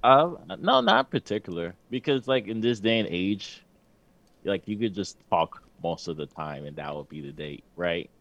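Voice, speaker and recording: A man's voice: low voice, very animated, normal speed, fairly bright tone, lo-fi sound. A man co-hosting a podcast, chatting casually and joking in a laid-back way, often filling with "like". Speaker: podcast co-host. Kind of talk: casual banter.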